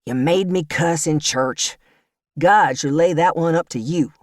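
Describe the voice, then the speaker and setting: A woman reader voicing a blunt, short-tempered old woman who speaks gruffly and deliberately. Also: deep voice, a little mellow, dry recording, clean studio sound. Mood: gruff indignation. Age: older.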